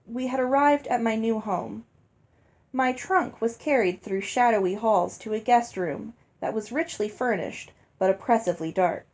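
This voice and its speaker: A woman reading a novel aloud as an expressive storybook narrator. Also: on the high side. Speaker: audiobook reader.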